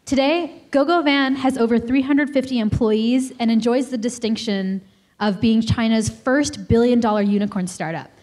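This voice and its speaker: A woman's voice: a little high, moderate pace, darkish tone. Poised young woman introducing a guest. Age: 20s. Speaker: student event coordinator. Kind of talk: formal introduction speech. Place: lecture hall podium. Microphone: handheld mic.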